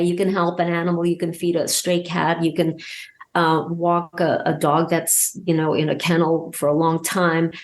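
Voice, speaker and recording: A woman talking casually as an interview guest and telling anecdotes, emphatic and halting, often repeating words as she goes. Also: on the low side. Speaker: podcast guest, audio drama creator and voice actor.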